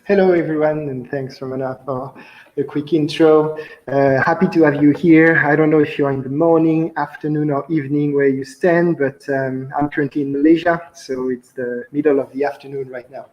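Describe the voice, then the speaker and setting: A young man with a French accent giving an online conference talk over a video call, relaxed and chatty with frequent fillers. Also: fairly high pitch, normal speed, dull audio. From France. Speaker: conference speaker, company founder. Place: indoor room, on video call.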